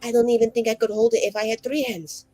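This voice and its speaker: A woman's voice: fairly high pitch, little pitch movement, fast talker, mellow, lightly acted. A woman voicing a flamboyant, chatty young female mage, rambling and full of fillers. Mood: gossipy.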